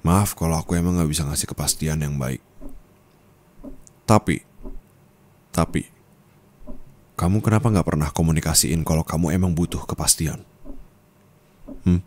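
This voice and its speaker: Deep-voiced young guy, asmr boyfriend roleplay, low and close, scolding, sometimes gets loud. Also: moderate pace, long pauses, slight background noise. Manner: low, intimate, scolding, rising to angry outbursts.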